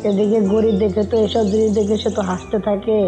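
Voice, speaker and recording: A woman's voice: mid-range voice, flat delivery, fast talker, over music. A woman narrating a scripted cartoon story as its storyteller.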